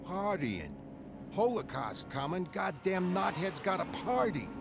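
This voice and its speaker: A griping street vendor played by a man in a motion comic, pitched up and talkative. He grumbles in loose, streetwise speech over music. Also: very animated, measured pace, gravelly, heavily acted.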